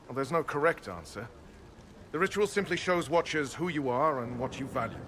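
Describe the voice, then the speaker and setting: An actor playing an earnest, duty-bound Templar knight, speaking formally and gravely in a video game cutscene. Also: male voice, a little high, lively intonation, fairly quick, background noise, natural voice.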